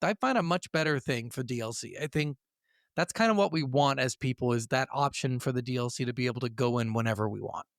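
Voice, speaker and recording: A man's voice: mid-range voice, fast, creaky, no room sound. A man with a mid-range voice co-hosts a gaming podcast in a dry recording. He chats casually, gives blunt opinions and curses freely. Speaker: podcast co-host.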